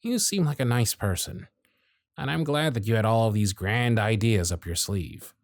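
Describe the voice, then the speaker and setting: A man voicing a jaded, century-old male workshop supervisor who is gruff, weary, sardonic and blunt, in an ASMR roleplay with close miking. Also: fairly low pitch, animated, moderate pace, dry recording. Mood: weary, stern. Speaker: voice actor in audio roleplay.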